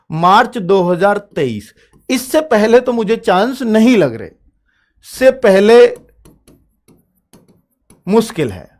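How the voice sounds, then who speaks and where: A man talks like a coaching instructor addressing a class. He explains in an informal, instructive way, often asking a question and then answering it himself. Key points come back again for emphasis. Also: high-pitched. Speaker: coaching teacher. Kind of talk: informal explanatory talk to students.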